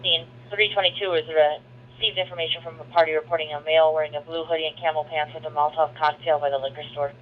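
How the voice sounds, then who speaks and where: A man's voice: fairly high pitch, little pitch movement, fast talker. A man working as a police dispatcher, repeating reports back in a clipped, procedural way over radio. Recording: noisy, muffled police radio channel.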